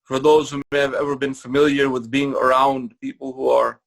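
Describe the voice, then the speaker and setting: A man lecturing in a mosque, his voice gravelly with a mid-range pitch. He speaks slowly and deliberately, repeating key phrases, and he breaks into recited Arabic verses before explaining them in English. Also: level tone. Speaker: imam giving a Ramadan lecture. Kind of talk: sermon-style religious lecture.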